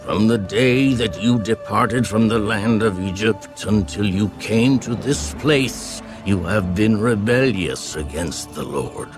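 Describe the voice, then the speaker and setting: A solemn elderly prophet in an audio Bible drama. His voice is deep and he speaks extremely slowly, weighing each phrase like a formal oration. Clear music plays behind him as he gravely reproves his people. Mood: stern, reproving. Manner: grave, deliberate, oratorical.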